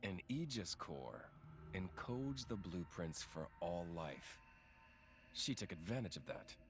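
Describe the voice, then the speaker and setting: A man playing a cold, knowing villain in a video game, explaining in a measured, matter-of-fact, dismissive manner. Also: sits low, sing-song, full character voice. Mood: cool, explanatory. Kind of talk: dramatic video game acting. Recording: music under the voice.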